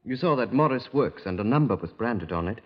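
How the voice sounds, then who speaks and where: An actor playing a respectful, slightly bumbling British police sergeant in a 1950s radio drama. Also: male voice, medium pitch, expressive, a little fast, close to an everyday voice. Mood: deferential. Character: deferential, plodding provincial police sergeant. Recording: dull, lo-fi old radio recording.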